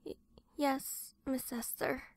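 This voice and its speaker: A woman's voice: high-pitched, little pitch movement, takes it slowly, a little hiss or hum. A woman playing a timid, anxious young female secretary who stammers apologetically in an audio drama. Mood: meek.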